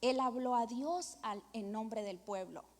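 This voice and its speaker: A woman's voice: on the high side, a little slow, a little mellow. A middle-aged woman with a Venezuelan accent, a pastor preaching and praying with emphatic, repeated devotional phrases. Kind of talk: church sermon and prayer. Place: church hall with long reverb. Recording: reverberant hall.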